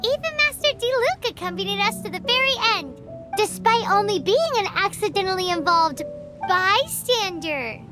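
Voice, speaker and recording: Squeaky, sky-high little sprite girl. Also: expressive, moderate pace. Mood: curious, musing. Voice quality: squeaky, childlike. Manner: cheeky, exclaiming, bossy. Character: tiny excitable sassy fairy-like companion sprite, girl. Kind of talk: animated video game voice acting. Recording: music behind the voice.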